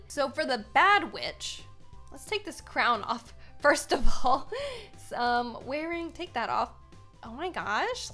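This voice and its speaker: A woman in her twenties with an American accent, giving excitable, playfully dramatic gameplay commentary and chatting casually to viewers at a close mic in a small room. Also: fairly high pitch. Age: 20s. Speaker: gaming video host. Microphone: desk microphone, close.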